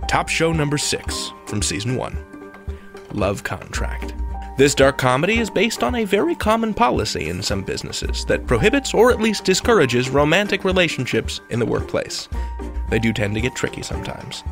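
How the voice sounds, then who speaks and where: Playful male podcast host with very lively intonation. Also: fairly high pitch, normal speed, gravelly. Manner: playful, theatrical, wry. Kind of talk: scripted, conversational podcast narration. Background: music.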